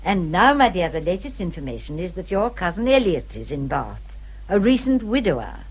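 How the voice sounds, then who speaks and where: Stately English gentlewoman, highly expressive. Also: normal speed, husky. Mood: disapproving. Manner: stately, emphatic, confiding. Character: worldly, judgmental older gentlewoman, protective confidante. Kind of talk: period radio drama acting.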